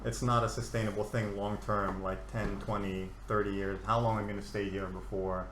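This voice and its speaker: A man's voice: lowish voice, flat delivery, a little fast, a bit bright, slight background noise. A man around thirty hosting a casual podcast, friendly and joking, with many filler words. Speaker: podcast host. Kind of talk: casual chat. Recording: echoey room with faint ambience.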